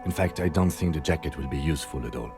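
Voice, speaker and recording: Very deep-voiced deadpan police lieutenant. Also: male voice. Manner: deadpan, measured. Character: calm, dry male police lieutenant, quietly amused. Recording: music behind the voice.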